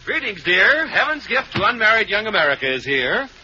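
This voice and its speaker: A jaunty ladies'-man bachelor in an old radio sitcom, full of wisecracks. His voice is very bright and sits fairly high, leaping all over the place in pitch. The old recording is dull and carries a faint hiss. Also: big pitch swings, brisk, heavily acted. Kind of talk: 1940s radio comedy acting.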